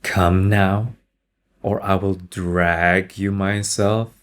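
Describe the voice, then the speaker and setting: A man's voice: low voice, flat delivery, very slow, heavy background noise. A man acting a haughty, protective young nobleman in an audio drama, making imperious, impatient demands. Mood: commanding.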